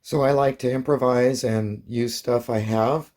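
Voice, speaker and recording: A man's voice: medium pitch, fairly even intonation, unhurried, darkish tone. A man presenting an informal hands-on demonstration as a hobbyist, explaining things plainly step by step.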